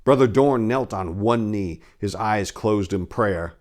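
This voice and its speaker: Theatrical man with a lowish voice. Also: unhurried, a little mellow. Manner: theatrical, hammy. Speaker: narrator and story editor. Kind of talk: dramatic story narration and informal talk to listeners.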